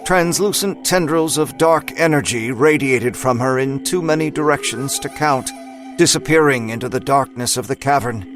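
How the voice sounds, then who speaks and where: A man narrates a scripted horror story in a mid-range voice. Clear music plays behind the narration. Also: medium pitch, moderate pace.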